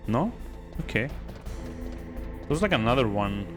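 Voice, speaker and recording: Male game streamer, unhurried, rambling, joking, casual live commentary, faint music. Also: mid-range voice, big pitch swings.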